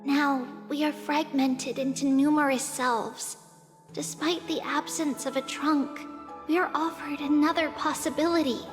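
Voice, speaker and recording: A woman voicing a small, gentle girl in a video game, with a soft childlike manner, resigned beyond her years. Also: sits high, fairly even intonation, measured pace. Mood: wistful, resigned. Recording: long reverb, light music behind.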